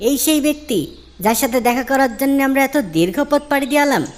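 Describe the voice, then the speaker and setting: A woman playing an eager, doubting young traveler in a moral cartoon, in an excitable, questioning manner. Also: sits high, quick pace, background noise. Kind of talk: Islamic moral cartoon dialogue.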